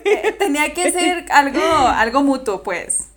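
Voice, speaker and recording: A lively woman with a Mexican Spanish accent chats as a guest, joking and animated as she makes her points. Her voice is pitched a little high for a woman, with plenty of bounce in the delivery. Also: measured pace, crowd noise. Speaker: guest, former student of the host. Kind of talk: casual chat.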